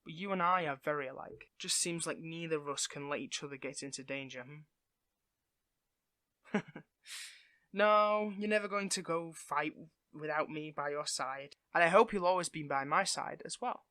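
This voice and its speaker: A performer voicing a theatrical supervillain who is tender with a partner, in an intimate audio roleplay that moves between softness and grandiose menace. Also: medium pitch, normal speed, no echo, studio quality, lightly acted. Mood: tender, affectionate. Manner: switches between soft affection and grandiose menace.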